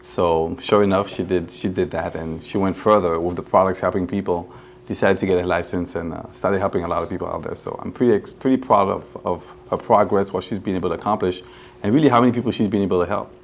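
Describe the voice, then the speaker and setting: A man in his forties with a mixed Caribbean accent, a guest talking earnestly with many halting fillers. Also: sits low, steady tone, creaky, a little hiss or hum. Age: 40s. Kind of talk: casual chat.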